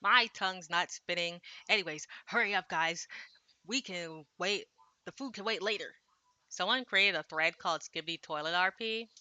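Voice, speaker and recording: A woman's voice: on the low side, moderate pace, pushed and bright, dry recording. A woman streaming a visual novel, reading translated dialogue aloud and adding casual, slangy asides. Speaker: game streamer.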